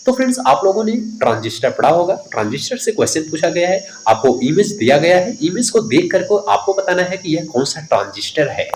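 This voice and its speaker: A man speaks as an exam coach in a fairly high voice. His pitch swings widely as he reads questions and explains answers to students. There is some noise behind him. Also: rough recording. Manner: instructive, addresses students directly. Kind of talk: quiz-style lesson, reading questions and explaining answers.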